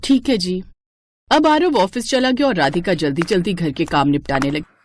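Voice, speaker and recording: A woman's voice: on the low side, big pitch swings, fairly quick, slight background noise. A woman narrating a scripted cartoon moral story as its storyteller.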